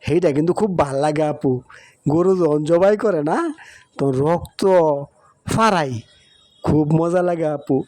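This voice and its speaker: Man voicing an eager high-pitched little brother. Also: unhurried, pauses often, a little mellow, dry recording, studio quality, natural voice. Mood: excited, eager. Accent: Bangladeshi regional Bengali. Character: excited young boy chatting to his older sister. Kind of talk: cartoon moral story dialogue.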